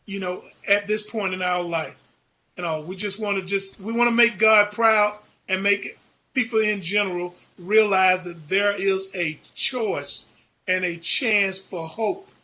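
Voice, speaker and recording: A man with a notably high, bright voice gives earnest interview answers as a guest. His delivery is halting, and he often repeats words while working toward his point. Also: rough recording. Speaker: foundation founder, guest.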